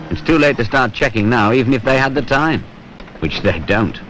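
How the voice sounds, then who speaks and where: A man's voice: mid-range voice, a bit bright, close to an everyday voice. An actor playing a wry, world-weary British working man who narrates a training film in a colloquial, grumbling, sardonic way. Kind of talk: conversational scripted narration in a 1970s training film. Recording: noisy 1970s film soundtrack.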